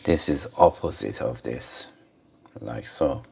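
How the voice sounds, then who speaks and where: A man giving an informal hands-on commentary for a repair video, speaking haltingly in short phrases with pauses. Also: very deep voice, animated, gravelly, breathy edge. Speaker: host of an electronics repair video.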